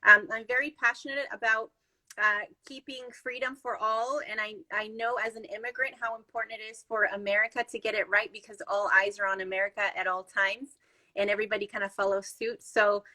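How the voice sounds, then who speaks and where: A woman from Chile talks in a relaxed, upbeat way, full of little fillers. Her voice is fairly high and very bright. She sounds like a guest in a casual chat. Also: brisk, low-quality recording. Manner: chatty, upbeat, many fillers. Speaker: podcast guest. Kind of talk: casual conversation.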